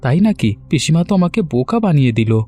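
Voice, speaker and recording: A man's voice: a little high, very animated, fast talker, warm tone. A man playing a kind, simple village fellow in a cartoon story, earnest and guileless in his talk. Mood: sheepish. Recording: music under the voice.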